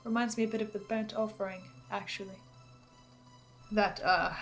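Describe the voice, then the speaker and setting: Woman hosting a theory vid, scripted, earnest n kinda theatrical, precise wording, music behind her. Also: mid-range voice. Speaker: host of a fan theory video series. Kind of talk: scripted analysis narration with dramatic asides.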